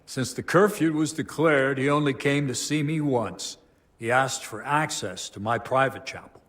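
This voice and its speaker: A man's voice: mid-range voice, moderate pace, a bit bright, natural voice. A man playing a devout, troubled abbot in a medieval video game drama, speaking in a solemn, formal, pious way. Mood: regretful.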